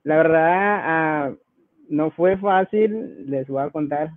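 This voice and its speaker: A man's voice: fairly high pitch, takes it slowly, no echo, phone-quality sound. A man in his twenties with a Guatemalan accent, telling his personal story casually as an interview guest. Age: 20s.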